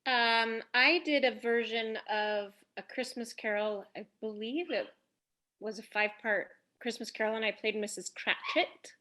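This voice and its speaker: A woman's voice: on the high side, unhurried, pushed and bright. A woman chatting casually as an actress and guest on a cast panel. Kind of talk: casual chat.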